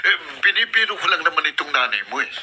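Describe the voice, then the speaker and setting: A man's voice: fairly high pitch, big pitch swings, quick pace, bright, forceful tone, full character voice. An actor playing a possessive, domineering male suitor and creditor in a Filipino radio drama. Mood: coaxing. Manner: insistent, domineering. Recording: noisy radio drama broadcast.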